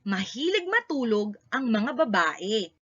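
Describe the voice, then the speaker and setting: A Filipino woman reads a story aloud for children. Her voice is high and very bright, delivering short, emphatic sentences. The recording sounds somewhat dull and lo-fi. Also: sing-song, slow pace.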